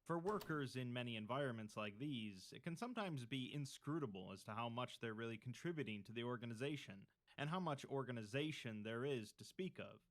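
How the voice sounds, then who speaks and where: A man reading a scripted horror-fiction story in a measured, documentary-style narration. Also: medium pitch, lively intonation.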